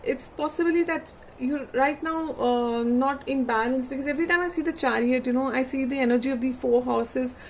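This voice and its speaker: A woman reading tarot cards for her viewers, unhurried and reflective, putting gentle questions to them and losing her voice. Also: a little high, vocal fry, a little hiss or hum. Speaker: tarot reader.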